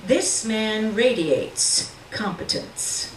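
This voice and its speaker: A woman reading literary fiction aloud at a live reading, with measured, deliberate delivery and dramatic pauses. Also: mid-range voice, animated. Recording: roomy, distant mic with faint noise.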